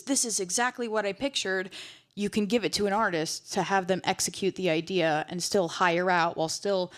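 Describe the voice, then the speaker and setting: A young woman songwriter on a panel, speaking thoughtfully and a bit tentatively. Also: lowish voice. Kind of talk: panel discussion.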